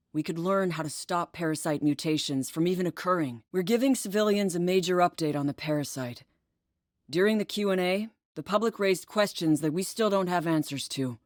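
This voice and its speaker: Actress as female tactical agent, video game briefing, measured, instructive, lower-pitched, close dry recording. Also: no room sound, close to an everyday voice. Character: composed female tactical operations agent briefing a squad on alien parasites. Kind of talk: scripted video game voice lines.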